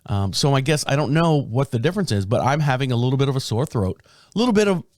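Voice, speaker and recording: Male podcast host, mid-pitched, a little raspy from a sore throat, loose and rambling, recorded dry. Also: sing-song, fast, darkish tone, dry recording. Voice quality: deep. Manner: rambling, self-interrupting storyteller. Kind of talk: casual solo podcast talk. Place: small podcast studio. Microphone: studio microphone.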